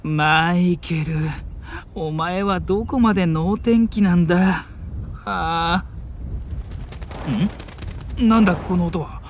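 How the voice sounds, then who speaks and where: A man's voice: sits high, takes it slowly, long pauses, a little hiss or hum. A man acting a serious, irritable older brother who flusters easily, scolding in stiff, stern speech in an anime-style audio drama. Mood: grumbling, weary.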